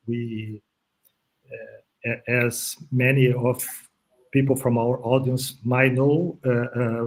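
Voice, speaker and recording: A man's voice: medium pitch, rough recording. A man from Brazil, a parent speaking as a panel guest, hesitant and full of fillers. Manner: hesitant, many fillers and repeats. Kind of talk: live stream panel talk.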